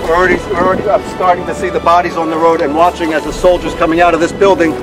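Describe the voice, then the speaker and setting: Fast, high-voiced man over loud music. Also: flat delivery.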